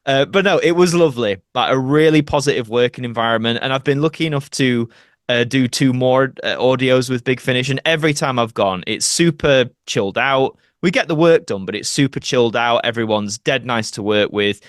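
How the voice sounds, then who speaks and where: A man's voice: mid-range voice, a little fast, no room sound. Chatty Yorkshire man in a dry recording. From Doncaster, Yorkshire, England. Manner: chatty, colloquial, rambling anecdotes. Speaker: actor, podcast guest. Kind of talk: casual interview storytelling.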